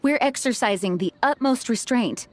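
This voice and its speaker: A woman's voice: a little high, animated, normal speed, a little hiss or hum, natural voice. A woman playing a dutiful, modest young knight commander in an anime-style video game, speaking earnestly, formally and politely. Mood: flustered.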